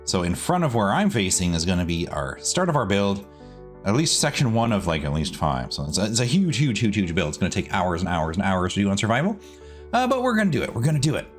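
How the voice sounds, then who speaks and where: A man's voice: lowish voice, big pitch swings, fast, soft background music. A man hosting a gaming video with casual build commentary, often repeating words for emphasis. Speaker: gaming video creator and host.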